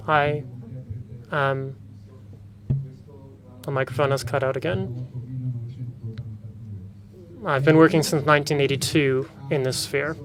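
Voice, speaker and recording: A man working as a conference interpreter, rendering a speaker's remarks in a formal, steady official tone. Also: on the high side. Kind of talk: simultaneous interpreting of a panel discussion. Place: conference room with an audience. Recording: conference room with audible crowd.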